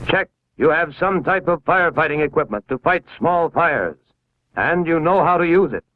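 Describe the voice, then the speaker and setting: An American man with a slightly high voice reads a scripted civil defense narration in the style of a 1950s educational film. His delivery is formal and instructional, giving directions with authority. The sound is a vintage film soundtrack, recorded very dry. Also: animated, moderate pace, a bit bright, dry recording, poor audio. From United States.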